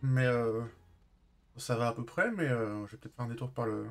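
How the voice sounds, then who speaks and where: Male game streamer, medium pitch. Informal, halting, improvised delivery. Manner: hesitant, halting, trailing off. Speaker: live streamer playing a role-play game. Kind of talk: casual live-stream chat and improvised role-play.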